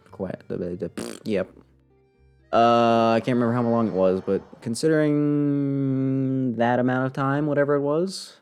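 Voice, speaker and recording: A man's voice: lowish voice, a little mellow, clear, resonant tone. A man giving casual live commentary on a game, blunt, sarcastic and teasing. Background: game music and dialogue.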